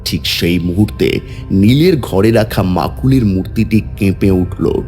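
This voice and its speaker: Male story narrator: fairly deep pitch, dramatic scripted read over faint music. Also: a little slow, mellow.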